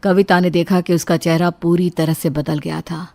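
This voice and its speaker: Low-voiced woman narrating a horror story. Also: little pitch movement, normal speed, gravelly, a little mellow.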